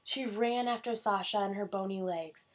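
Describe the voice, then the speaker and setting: A woman narrates a children's tale from a book. Her delivery is expressive read-aloud storytelling, at a middle pitch. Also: normal speed, background noise. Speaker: storybook reader.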